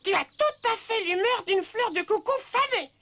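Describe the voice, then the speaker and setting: Chatty little bird, high piercing cartoon voice, teasing and nosy, faint noise. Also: female voice, expressive, normal speed, bright, forceful tone, full character voice. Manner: teasing, inquisitive, exclamatory. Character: small chatty bird, teasing busybody friend. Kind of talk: French animated series dub.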